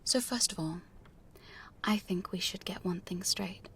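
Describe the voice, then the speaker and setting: Woman acting a slow, whispering, domineering vampire huntress. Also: fairly low pitch, a bit bright, full character voice. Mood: seductive, commanding. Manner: slow, breathy, seductive, commanding. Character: domineering, teasing female vampire hunter with hypnotic powers. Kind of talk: ASMR roleplay, mostly whispered. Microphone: close studio condenser microphone.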